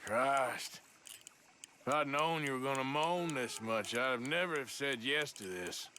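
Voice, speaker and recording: An actor playing a gruff, sardonic cowboy outlaw in a video game, with an American Western drawl and a dry, taunting delivery. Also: male voice, mid-range voice, unhurried, sharp tone, slight background noise. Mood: exasperated. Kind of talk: video game cutscene dialogue.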